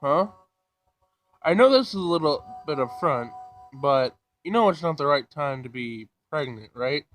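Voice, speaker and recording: A man's voice: a little high, lively intonation, a little slow, faint music behind, poor audio. A man voicing a nosy, teasing young woman, a friend character in a visual novel. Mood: prying. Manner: prying, teasing.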